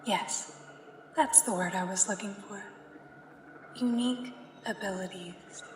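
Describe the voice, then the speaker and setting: A slow, hushed woman's voice drifts in long reverb over faint ambience. It is a woman performing an uncanny government examiner in a sci-fi ASMR roleplay, soothing, formal and measured. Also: medium pitch, lightly acted. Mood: formal, persuasive. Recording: echoey long reverb, faint background noise.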